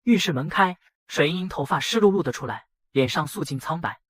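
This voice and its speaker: A man voicing a brash young factory boss who narrates his own dramatic motion-comic story in a husky voice. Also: a little high, lively intonation, brisk, no room sound, studio quality. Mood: tense narrating. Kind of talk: dramatic first-person scripted narration.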